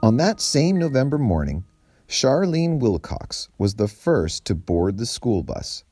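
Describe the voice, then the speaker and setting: A man reading a story aloud as a storyteller, with deliberate, dramatic phrasing and emphasis. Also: fairly low pitch, dark tone, dry recording, clean studio sound. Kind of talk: expressive storybook narration.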